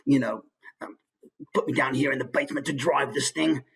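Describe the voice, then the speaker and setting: A man's voice: medium pitch, fairly even intonation, fairly quick, gravelly, a bit bright, husky, full character voice. A man voicing a disgruntled steampunk ship driver, muttering complaints in a grumbly manner. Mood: grumbling, resentful.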